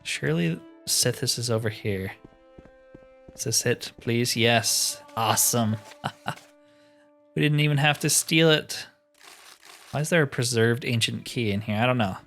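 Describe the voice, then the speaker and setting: A man's voice: medium pitch, a little slow, vocal fry, pauses often, a bit bright, faint music behind. A man giving casual, chatty let's-play commentary, often explaining himself in asides. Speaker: host of a gaming playthrough.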